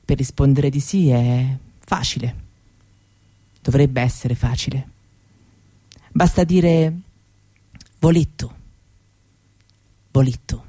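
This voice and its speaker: Slow, mellow-voiced woman storyteller. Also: rumbling low, dry recording. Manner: reflective, unhurried, with deliberate pauses. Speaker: author and stage performer. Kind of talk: spoken theatrical monologue, first-person storytelling.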